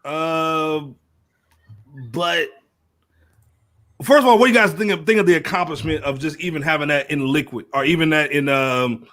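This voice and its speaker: A man's voice: on the high side, normal speed, pauses often, a bit bright. A man hosting a casual hip-hop podcast, talking in an informal, slangy, joking way. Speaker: podcast host.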